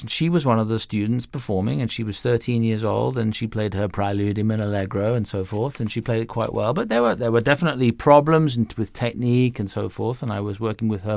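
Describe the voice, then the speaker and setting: A middle-aged or older man speaking conversationally as an interview guest, explaining things in an animated, anecdotal way with self-interruptions. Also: mid-range voice, gravelly, no echo, studio quality. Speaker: interview guest, music director and violin teacher.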